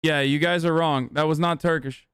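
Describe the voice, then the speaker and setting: Streamer: smooth-voiced, profane, sarcastic man in his thirties, dry close mic. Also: on the high side, fairly even intonation, a bit bright, dry recording. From United States. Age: 30s. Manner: profane, sarcastic, quick banter. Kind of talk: casual live-stream reaction.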